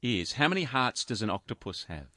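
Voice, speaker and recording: A man hosts a radio show, upbeat and lively with a widely moving pitch. His voice sounds dry and close to the mic. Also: fairly quick, no echo. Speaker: radio host. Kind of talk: radio hosting and ad reads.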